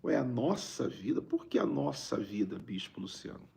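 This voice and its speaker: A Brazilian man with a raspy, gravelly voice, a preacher talking conversationally on a live stream and repeating phrases for emphasis. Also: mid-range voice, very animated, moderate pace, dark tone, slight background noise. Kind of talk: informal livestream preaching, reading viewer greetings.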